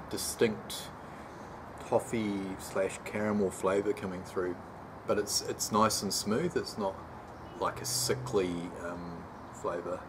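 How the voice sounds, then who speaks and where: A man in his forties hosting a casual homebrew beer review vlog from a sunny backyard patio, chatty and relaxed with many pauses. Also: fairly low pitch. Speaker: homebrew channel host. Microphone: camera mic at close range, later handheld while walking. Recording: outdoor background noise.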